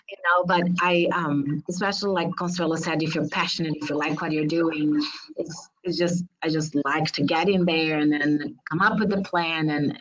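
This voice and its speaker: A woman's voice: deep voice, steady tone, normal speed, a bit bright. Brazilian-born woman on a lo-fi video call. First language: Portuguese. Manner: earnest, direct advice with frequent ums. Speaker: webinar panelist. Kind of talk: webinar panel answer.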